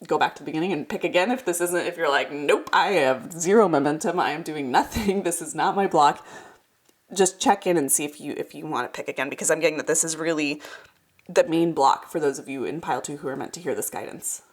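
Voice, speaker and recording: A woman's voice: deep voice, a little fast. A woman hosting a card reading, speaking casually and directly to the viewer in a reassuring, encouraging way, slightly congested from a cold. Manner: reassuring, encouraging, emphatic repetition. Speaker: host of tarot card readings.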